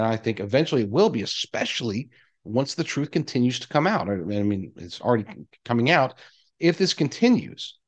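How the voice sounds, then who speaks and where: A man hosting a commentary show, reading articles aloud and adding his own emphatic, deliberately repeated asides. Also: medium pitch, dull audio. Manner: emphatic, deliberate repetition of key phrases.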